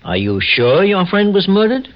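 Courteous old detective, expressive, muffled. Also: male voice, high-pitched, a little hiss or hum. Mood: calm inquiry. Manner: measured, polite, probing. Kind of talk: 1940s radio drama acting. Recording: muffled old radio recording.

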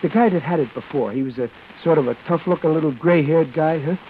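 A man's voice: fairly high pitch, fast, a little hiss or hum, natural voice. Man playing wry, nervous guy on tinny old radio. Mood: anxious. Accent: American. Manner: slangy, wry, stammering. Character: nervous ordinary wisecracking guy narrating his crime jam. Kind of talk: 1940s radio acting, hardboiled first-person narration. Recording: tinny old radio broadcast with loud music.

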